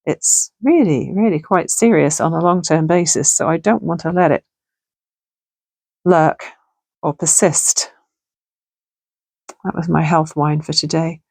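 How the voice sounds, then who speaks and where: A middle-aged woman talks through a craft project in a relaxed, chatty way, musing aloud as she works. Her voice sits fairly low and sounds gravelly and a little hoarse. The sound is close and dry, with almost no room around it. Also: unhurried, long pauses, no echo. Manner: chatty, thinking aloud while working. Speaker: crafting channel host. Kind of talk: casual crafting tutorial chat. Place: home sewing room.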